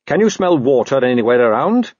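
Man voicing a mild, courteous watchman, slightly husky. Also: medium pitch, very animated, fairly quick, darkish tone, no echo, lo-fi sound, voice pushed up. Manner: level, polite, restrained. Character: mild, courteous, unflappable male city watchman. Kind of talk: audiobook character voice.

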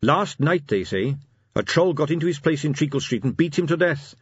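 A man acts an earnest, dutiful captain of a fantasy city watch. He speaks deferentially in a raised, lively voice. The storybook recording is dry and slightly muffled. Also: fairly high pitch, a little fast, breathy edge, dry recording, pitched above the performer's own voice. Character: Captain Carrot, earnest dutiful young police captain.

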